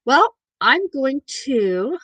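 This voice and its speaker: A woman's voice: high voice, very animated, extremely slow, sharp tone, no room sound, rough recording. A woman from southern Missouri with a southern Missouri accent, chatting casually with viewers as host of a livestream. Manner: chatty, reads viewer chat aloud. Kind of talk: casual live chat.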